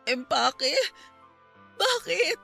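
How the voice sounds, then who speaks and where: A woman's voice: sits high, sing-song, extremely slow, gravelly, bright, forceful tone, faint music behind, heavily acted. A woman playing a long-suffering young mother in an emotional radio drama, earnest and pleading with her partner. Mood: anxious pleading.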